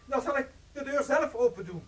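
A man's voice: very high-pitched, animated, fairly quick, heavy background noise. An actor playing a loving husband in his thirties in a radio play, theatrical and cheerfully resigned. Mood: ominous, hesitant. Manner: theatrical, placating.

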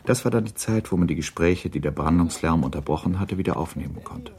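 A man narrating a literary radio drama in a measured storytelling manner. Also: low voice, a little mellow.

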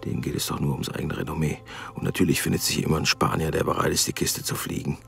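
An actor playing an impatient, grumbling German engineer in a radio drama, sullen and contemptuous, stiffly formal with others. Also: male voice, extremely low pitch, little pitch movement, fast talker, vocal fry, over music, full character voice. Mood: sullen, muttering. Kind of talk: naturalistic radio drama acting with muttered inner monologue.

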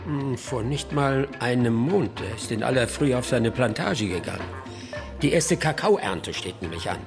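A man playing a wily, unhurried village storyteller in a radio drama, speaking in a deliberate, teasing way. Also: mid-range voice, expressive, creaky, over music. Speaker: actor in a radio play.

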